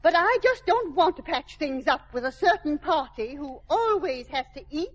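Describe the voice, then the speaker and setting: High-voiced, prim lady librarian. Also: animated, moderate pace. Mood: indignant. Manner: prim, fluttery, hesitant. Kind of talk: 1940s radio comedy acting. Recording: dull old radio recording.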